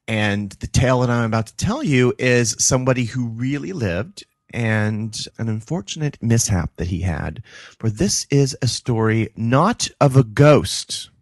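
A man's voice: on the low side, no room sound. Playful male podcast co-host, dry sound. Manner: playful, quick to joke. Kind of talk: casual storytelling chat.

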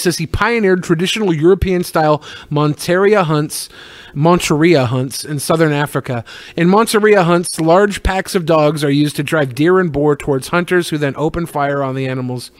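Irreverent male podcast host, higher-pitched voice. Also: no room sound. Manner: irreverent, swearing, jokey. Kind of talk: casual comedic reading and riffing on news.

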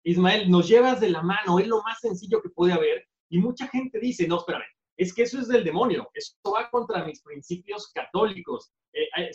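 A man with a high voice, interviewing a guest in an easy, affirming manner. His voice sounds roomy and echoey, a little dull and muffled. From Mexico. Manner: affirming, conversational. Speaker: interviewer, podcast host. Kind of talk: interview conversation.

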